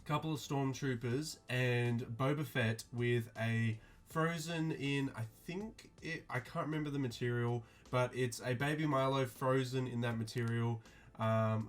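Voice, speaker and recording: A man talking casually to his viewers, reviewing a clothing release in a flat, mildly disappointed way with lots of ums and restarts. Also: medium pitch. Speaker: streetwear vlogger.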